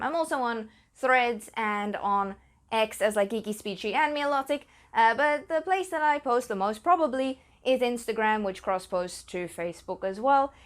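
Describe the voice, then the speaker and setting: A woman's voice: fairly high pitch, no echo. A young woman, a speech pathologist and podcast host, chatting enthusiastically with many fillers, then explaining her work. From Australia. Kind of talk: casual chat and explanatory talk.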